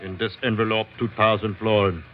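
An actor playing a gruff, businesslike Dutch sea captain in a radio drama, with clipped, foreign-sounding phrasing. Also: male voice, fairly low pitch, flat delivery, a little fast, very noisy, natural voice. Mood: blunt, businesslike. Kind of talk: 1940s radio drama acting. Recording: muffled vintage radio, faint music.

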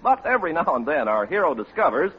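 A man's voice: a little high, big pitch swings, fast, slightly rough. A man announcing in 1940s radio style, declamatory and mock-heroic. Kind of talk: 1940s radio scripted narration. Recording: dull, noisy old radio recording.

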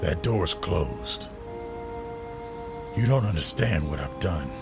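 An actor playing a scarred former district attorney turned crime boss, brooding and bitter, with two sides that argue. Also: male voice, sits low, very animated, slow, vocal fry, music in the background, full character voice.

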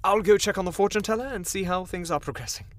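A man's voice: high-pitched, fast, lightly acted. A man playing a nervous, bumbling, obsequious enchanter who stammers and fawns in a fantasy audio drama. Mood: hesitant deference. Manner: stammering, fawning, flustered.

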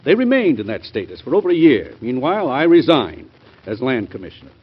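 Through a dull old radio recording, an earnest male cabinet secretary defending his honor. His husky voice moves animatedly as he speaks in formal, emphatic 1940s radio drama fashion. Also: mid-range voice, big pitch swings, brisk. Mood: defensive, emphatic.

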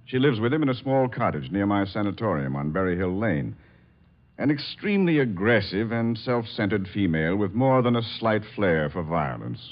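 A fussy, swooping low-voiced doctor. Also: male voice, sing-song, normal speed. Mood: stiff, disapproving. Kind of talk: 1950s American radio mystery acting. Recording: dull old 1950 radio recording.

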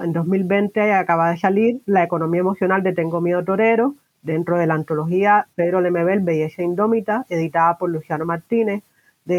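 A woman's voice: lowish voice, darkish tone, lo-fi sound. A woman hosting an informal bilingual podcast interview, mixing English words into her Spanish. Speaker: podcast host interviewing a guest.

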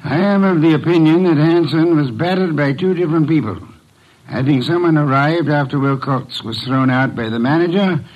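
An actor playing a pompous, exacting middle-aged detective boss in an old-time radio drama, with formal, precise diction and a gravelly voice. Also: male voice, on the high side, moderate pace, dull audio, lightly acted. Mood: deliberating.

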